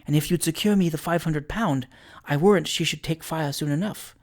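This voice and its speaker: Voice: medium pitch, fairly quick, lightly acted. A narrator voicing a coquettish, scheming young maidservant. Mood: coaxing.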